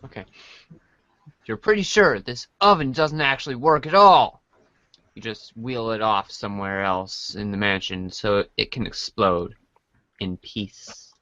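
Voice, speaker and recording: A man's voice: fairly low pitch, unhurried, sharp tone, no echo, lo-fi sound. A man reading comic narration aloud for a podcast. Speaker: podcast reader of a webcomic.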